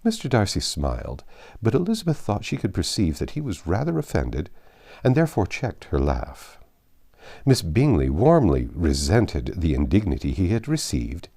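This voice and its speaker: Deep mellow man narrating a classic novel. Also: very animated, normal speed. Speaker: audiobook narrator. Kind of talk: scripted literary narration.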